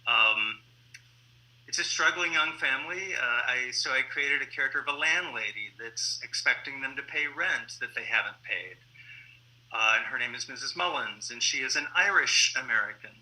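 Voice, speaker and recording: A man talking as a playwright in an interview, explaining his work haltingly with pauses mid-sentence. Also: medium pitch, lively intonation, pushed and bright, low-quality recording. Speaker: playwright who adapts stage plays.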